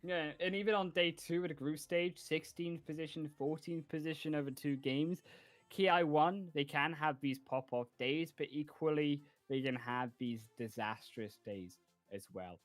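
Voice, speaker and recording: A man's voice: a little high, normal speed, no room sound, soft background music. A man casting esports, analyzing teams fluently and confidently and closing the broadcast. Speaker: esports caster.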